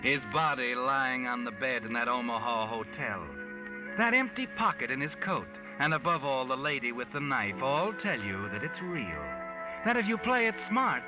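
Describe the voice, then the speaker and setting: A man's voice: medium pitch, very animated, moderate pace, natural voice, low-quality recording. Sardonic male suspense narrator actor in role, 1940s radio, swooping delivery, insinuating, music on old recording. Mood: knowing, insinuating. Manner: ominous, insinuating second-person storytelling. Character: sardonic male mystery narrator addressing the guilty man as you.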